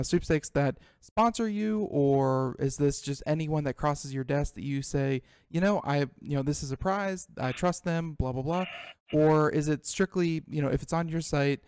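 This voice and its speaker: A male host puts questions to a guest in a conversational interview style. His voice sits a little high for a man and is smooth and clean. The sound is dry, with no room echo. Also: a little mellow.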